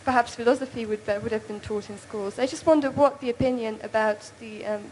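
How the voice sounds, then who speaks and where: A woman in the audience posing a measured, tentative question to a conference panel. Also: a little high, fairly even intonation, a little mellow, slight background noise, rough recording. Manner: measured, tentative, many "perhaps". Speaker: audience member. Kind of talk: question from the floor.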